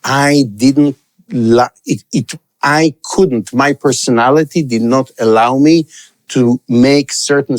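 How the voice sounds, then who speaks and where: A man's voice: medium pitch, darkish tone. Middle-aged man telling his story. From Israel, raised in Africa. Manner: reflective, rambling with restarts. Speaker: guest, sales expert. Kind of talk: storytelling interview answers.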